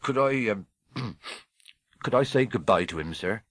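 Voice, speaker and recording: Guy doing a big soft-hearted giant, husky, rustic and humble, storybook voice. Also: fairly low pitch, lively intonation, a little slow, no echo. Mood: hesitant. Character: huge, shaggy, tender-hearted giant man, deferential. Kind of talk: audiobook character voice.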